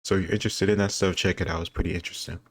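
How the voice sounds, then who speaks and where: A man with a very deep voice talks casually as a video host. His delivery is flat and reflective, as if thinking aloud. The sound is very dry. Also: rapid-fire, dry recording. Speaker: video host taking a history quiz. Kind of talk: casual reaction commentary.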